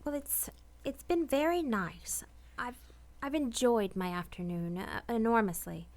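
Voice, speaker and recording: A woman's voice: on the high side, measured pace, a little mellow. An actress playing a proper married woman in a romantic radio play, polite, hesitant and restrained. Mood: tender, flustered. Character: reserved, proper married woman, quietly smitten and conflicted.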